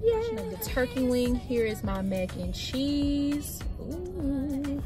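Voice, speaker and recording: A woman in her thirties chatting casually to camera from her car, animated and talking with her hands. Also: high voice, slow pace, over music. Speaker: vlogger. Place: parked car, daytime. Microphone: phone on the dashboard. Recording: loud car and traffic noise. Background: car and road noise.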